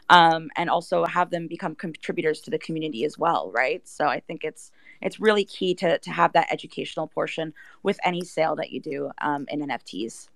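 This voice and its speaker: A woman's voice: deep voice, gravelly, no echo. A woman explaining things casually as a team member on a panel, earnest and conversational.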